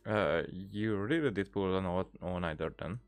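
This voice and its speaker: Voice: low voice, normal speed. A gaming streamer giving casual playthrough commentary and reading dialogue aloud, with game music behind.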